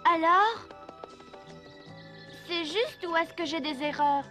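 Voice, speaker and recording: A woman voicing an earnest, excitable little wooden puppet boy in a children's cartoon, whiny and dramatic. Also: extremely high pitch, takes it slowly, soft background music, exaggerated voice. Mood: triumphant. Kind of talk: French-dubbed children's cartoon acting.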